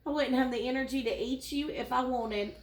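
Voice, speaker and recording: A woman's voice: high voice, brisk, lightly acted. A woman voicing a tired, harmless storybook leopard, pleading and complaining. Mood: weary pleading. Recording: echoey room.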